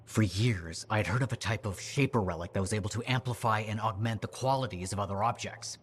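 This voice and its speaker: An actor playing an excitable, breezy inventor and overconfident schemer in a video game, with a husky voice. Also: male voice, fairly low pitch, brisk, close to an everyday voice. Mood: eager, confident. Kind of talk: video game cutscene dialogue.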